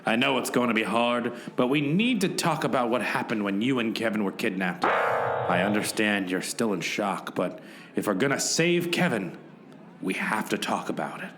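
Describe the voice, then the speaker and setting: Noir radio gumshoe male actor, weary and brooding, lowish voice with lively melodic swings, faint music underneath. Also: normal speed. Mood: concerned. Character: weary, world-wise male gumshoe detective narrating his case. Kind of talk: hard-boiled noir radio play narration.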